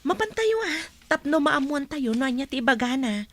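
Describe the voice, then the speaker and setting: A polite, earnest young training coordinator in a radio drama. Her voice is a little higher than most women's, and she speaks in a respectful, even tone. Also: fairly quick, a little hiss or hum.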